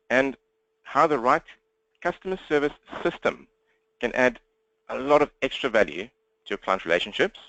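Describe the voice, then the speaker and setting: South African male trainer, rapid direct patter, mid pitch, dry room. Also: fairly bright tone, dry recording, highs cut off. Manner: fast-talking, upbeat and direct. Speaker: webinar trainer. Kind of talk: live webinar presentation. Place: at home desk speaking to laptop. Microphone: laptop.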